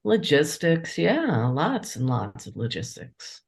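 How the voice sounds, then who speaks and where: Low-voiced woman answering interview questions. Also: wildly swooping pitch, slow, creaky. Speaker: interviewed playwright and editor.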